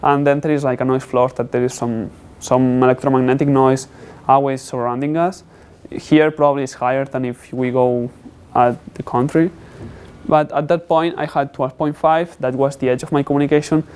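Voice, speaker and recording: Clear-voiced man, halting technical presenter. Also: mid-range voice, flat delivery, a little mellow. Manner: halting, non-native English phrasing. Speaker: student presenting a capstone project.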